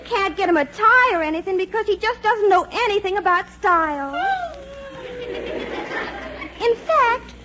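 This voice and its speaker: A woman's voice: very high voice, animated, a little slow, long pauses, a crowd in the background. A woman playing a breezy, blunt, self-assured teenage girl with a Southern drawl in a 1940s radio comedy. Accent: American Southern. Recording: muffled 1940s radio recording, light hiss.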